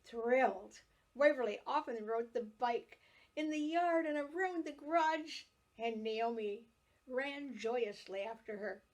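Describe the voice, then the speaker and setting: A woman telling a true story from her own childhood, in unhurried, expressive storytelling. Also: on the high side, low-quality recording. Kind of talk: reading a family memoir aloud.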